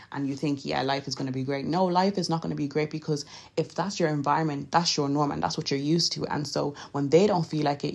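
A young woman with a Nigerian accent talks straight to her listeners in a casual, encouraging podcast style. Her voice sits low, and she speaks earnestly, sometimes stumbling and correcting herself. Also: creaky, a little mellow. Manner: earnest and direct, occasional laughs and self-corrections. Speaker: podcast host. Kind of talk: casual motivational talk.

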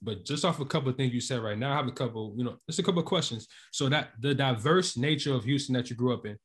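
A man's voice: mid-range voice, quick pace. A man hosting a casual podcast interview, speaking informally with slangy phrasing. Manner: informal, slangy, filler-laden. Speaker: podcast host.

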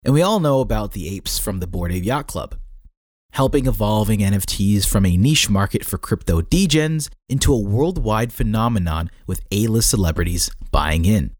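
A man's voice: on the low side, lively intonation, moderate pace, no room sound, studio quality. A man reading a scripted article aloud as a narrator, with a tongue-in-cheek manner.

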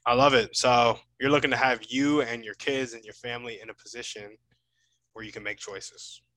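A man's voice: mid-range voice, level tone, normal speed, fairly bright tone, no room sound, poor audio. A man hosting a podcast in a casual, informal and encouraging interview style.

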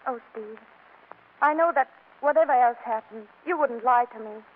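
An actress playing a hurt, proud wife with a pointed dramatic manner in a 1940s radio comedy, on a tinny recording. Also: female voice, a little high, normal speed, lightly acted. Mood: wounded. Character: hurt, proud young wife doubting her husband.

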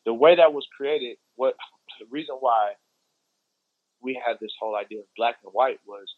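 An American man speaks in a casual, explanatory way, stressing points by repeating himself. His pitch sits in the middle for a man. The sound is very dry, with a dull, narrow band. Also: no echo. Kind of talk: casual conversational discussion, explaining at length.

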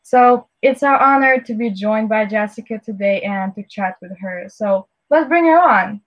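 A woman co-host on a live online show, reading out thanks and a guest introduction in a brisk, upbeat way over a video call. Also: medium pitch, no room sound, highs cut off.

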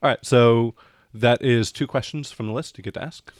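A man narrating as game master of a tabletop role-playing game, describing things in a halting, improvised way. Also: mid-range voice, no echo, clean studio sound.

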